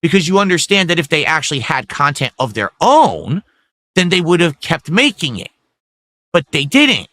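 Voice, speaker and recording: A male streamer talks to his chat in a defiant, rambling way, with plenty of swearing. His voice is bright and sharp and slightly high for a man. It sounds dry and close, with no room behind it. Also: dry recording. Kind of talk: livestream talk to chat.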